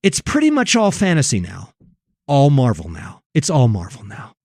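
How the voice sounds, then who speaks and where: Animated, emphatic male commentator. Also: mid-range voice, moderate pace, dry recording, clean studio sound. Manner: emphatic, preachy, repeats phrases for effect. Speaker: host. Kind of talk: religious commentary on film and culture.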